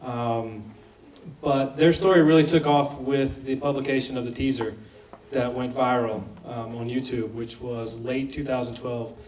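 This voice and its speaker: A hoarse man answers questions in a big, echoey hall, heard from some distance. He talks in a loose, conversational way and often restarts his sentences. A faint hiss of noise sits underneath. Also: medium pitch, steady tone, measured pace. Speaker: film director at a Q&A. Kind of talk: post-screening Q&A answers. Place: large screening hall. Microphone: lost microphone, speaking unamplified.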